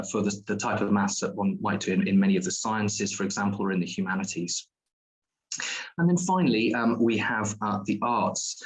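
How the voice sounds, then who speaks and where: Low-voiced man explaining courses. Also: low-quality recording. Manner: explanatory, hesitant with frequent ums and restarts. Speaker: school staff presenter. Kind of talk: virtual open day presentation.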